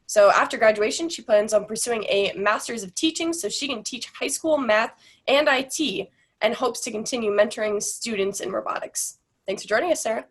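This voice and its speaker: A woman's voice: a little high, moderate pace, husky. A woman moderating an online panel over a video call, reading the guest introductions in an upbeat way.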